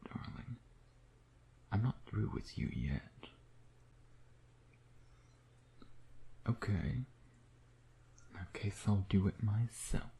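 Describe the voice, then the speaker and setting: Suave male vampire played by a man, deep and unhurried, breathy, teasing, predatory; intimate ASMR delivery. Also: warm tone. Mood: taunting, amused. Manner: slow, teasing, murmuring with pet names.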